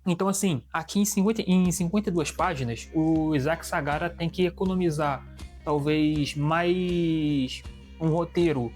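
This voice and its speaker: A man's voice: fairly high pitch, moderate pace, a little mellow, soft background music. A man with a Brazilian Portuguese accent, casually reviewing a comic book and often restarting his sentences. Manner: chatty, restarts sentences, shows pages to camera.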